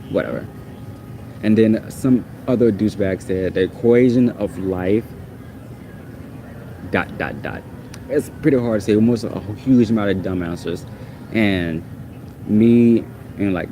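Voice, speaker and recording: A man's voice: sits low, noisy background. A man whose first language is Portuguese, ranting casually and with exasperation in a rambling, repetitive way. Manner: exasperated, rambling, repeats himself.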